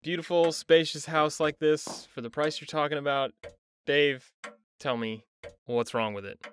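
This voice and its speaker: A man's voice: a little high, fairly even intonation, a little slow, close to an everyday voice. A man acting as a dry, skeptical husband and father in an audio drama. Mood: suspicious. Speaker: house buyer. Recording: faint background noise.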